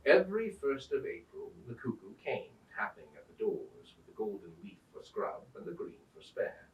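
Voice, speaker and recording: Echoey, expressive man reading a storybook. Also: medium pitch, normal speed, lo-fi sound. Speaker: Santa storyteller reading aloud. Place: indoors at a museum. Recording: roomy echo, distant microphone.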